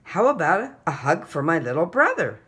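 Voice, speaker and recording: Woman as an earnest, lively big-sister girl. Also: deep voice, moderate pace, slightly rough, exaggerated voice. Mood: matter-of-fact. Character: earnest big sister, a young girl looking after her brother. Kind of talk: children's picture-book character voice.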